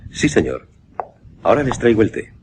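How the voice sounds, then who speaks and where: An actor playing a formal, obliging manservant with a gravelly voice in a radio suspense play. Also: male voice, on the low side, very animated, moderate pace, noisy background. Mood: deferential. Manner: formal, deferential. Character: deferential, obliging male household servant named Oscar.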